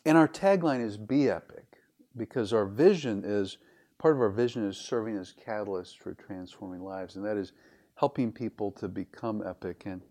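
A man hosting an interview, asking earnest, measured questions about a guest's background. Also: lowish voice, a little mellow. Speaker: interviewer, business school representative. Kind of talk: conversational interview.

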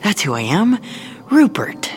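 An awkward, high-voiced young prince. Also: male voice, wildly swooping pitch, a little slow, soft background music, exaggerated voice. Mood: flustered. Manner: flustered, stammering, babbling. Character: naive, awkward, earnest young fairytale prince. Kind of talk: fairytale audio drama acting.

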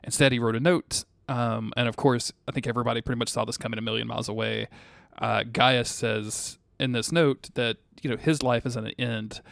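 A man with a slightly low, gravelly voice, recorded close and dry. He talks as a podcast co-host in a casual recap, lively in manner, with plenty of slang and swearing. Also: no echo. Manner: animated, slangy, profane.

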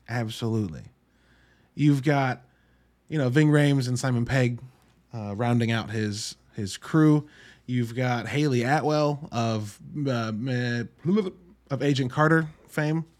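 A man hosting a podcast, giving an informal, wry solo review in an emphatic, halting way with drawn-out words. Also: medium pitch, a little mellow. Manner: informal, wry, mildly profane. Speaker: podcast host reviewing films. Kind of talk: casual solo review monologue.

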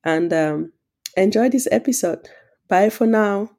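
A woman from Cameroon, speaking as a podcast guest in a soft, reflective way with hesitant fillers. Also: mid-range voice, measured pace. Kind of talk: reflective conversation.

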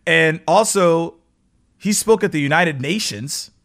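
A man's voice: a little high, a little slow, gravelly, a bit bright. A man hosting an interview in a conversational style, introducing his guest and putting questions to him. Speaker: interviewer, podcast host.

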